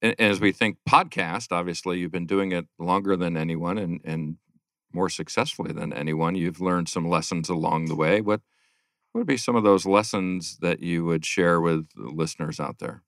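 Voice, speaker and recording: A man's voice: low-pitched, moderate pace, creaky, fairly bright tone, dry recording, clean studio sound. A man hosting a podcast interview, asking friendly questions and laughing along in casual chat. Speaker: podcast host.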